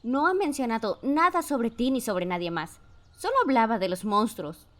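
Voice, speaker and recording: A woman's voice: on the high side, lively intonation, normal speed, a bit bright. A woman playing a suspicious, impatient character in a Spanish fan dub. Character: suspicious, impatient grown woman, wary of monsters. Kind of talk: Spanish fandub voice acting.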